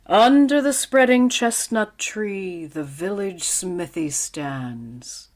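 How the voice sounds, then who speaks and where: A woman's voice: mid-range voice, animated, takes it slowly, soft background music. A woman playing a wry, theatrical schoolteacher who declaims and exclaims in an old-time radio comedy. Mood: grandly performing. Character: theatrical, wry schoolteacher, eager party performer and storyteller. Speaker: lead actor in a radio comedy. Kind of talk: 1940s-50s radio comedy acting.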